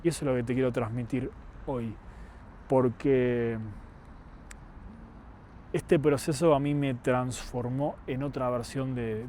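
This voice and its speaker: Young male vlogger, Argentine accent, mellow mid-pitched voice, earnest and deliberate, light city noise behind. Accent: Argentine Spanish. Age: young adult. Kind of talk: reflective personal monologue to camera.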